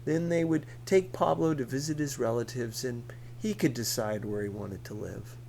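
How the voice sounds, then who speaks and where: A man's voice: mid-range voice, normal speed, gravelly, darkish tone. Male audiobook reader over noisy background hum. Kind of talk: scripted narration of a first-person novel.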